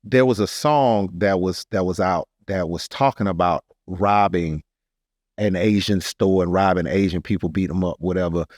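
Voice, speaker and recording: Low-voiced American man, animated and slangy. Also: normal speed, no echo, studio quality. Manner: animated, affirming, slangy interjections. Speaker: podcast co-host. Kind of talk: casual podcast discussion.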